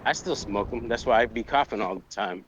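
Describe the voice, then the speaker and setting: A man's voice: on the low side, brisk, fairly bright tone, a little hiss or hum, poor audio. A man in his late thirties chatting casually with a friend on stream, laid-back and profane. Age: late 30s. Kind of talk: casual chat.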